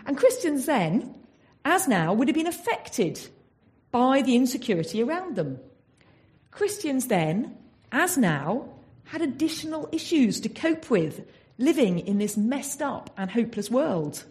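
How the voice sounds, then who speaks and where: A woman's voice: a little high, very animated, unhurried, a little mellow. An English woman giving a Bible talk in an earnest teaching manner, often asking her listeners rhetorical questions. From England. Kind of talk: sermon.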